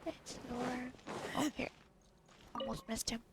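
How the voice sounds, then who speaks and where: High, creaky woman muttering while gaming. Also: steady tone, slow, heavy background noise. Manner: muttering to self, reads game text aloud. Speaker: gamer recording a playthrough. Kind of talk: casual gameplay chat.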